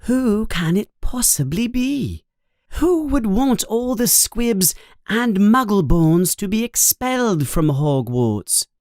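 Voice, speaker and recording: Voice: mid-range voice, very animated, slow, darkish tone, no room sound, studio quality, pitched above the performer's own voice. A narrator voicing an earnest, bossy, inquisitive schoolgirl in a storybook, with an emphatic, questioning manner. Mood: puzzled, incredulous. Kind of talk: storybook character voice.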